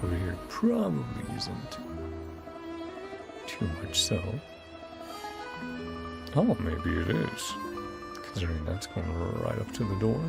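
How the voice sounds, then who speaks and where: Guy streaming a game, chatty, voice goes up and down, bit of fry, music behind him. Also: low-pitched, wildly swooping pitch, slow, pauses often. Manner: chuckles often, thinks aloud. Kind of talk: casual let's play commentary, reading game text aloud. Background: video game music.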